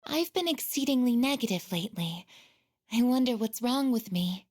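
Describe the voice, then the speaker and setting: A woman voicing a gentle, earnest young female android in a video game, with measured, formal, softly hesitant phrasing. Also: a little high, animated. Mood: wistful. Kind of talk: English video game voice acting.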